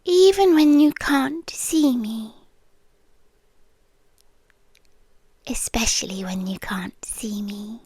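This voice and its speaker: A woman with a British accent voicing a possessive, doting vampire girlfriend in intimate ASMR roleplay, breathy and often whispering close to the microphone. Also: fairly high pitch, a little mellow. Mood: resentful, possessive. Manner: slow, lingering, teasing menace. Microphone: close microphone, dry.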